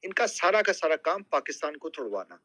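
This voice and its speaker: A man with a Pakistani accent in a live political discussion, speaking emphatically and deliberately, often checking that listeners agree. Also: fairly high pitch, big pitch swings, pushed and bright, no echo. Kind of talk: impassioned political talk in a live group audio discussion.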